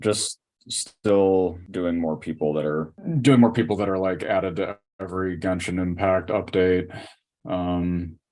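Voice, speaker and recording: A man in a casual podcast chat, explaining things in a halting way. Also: sits low, steady tone, a little mellow.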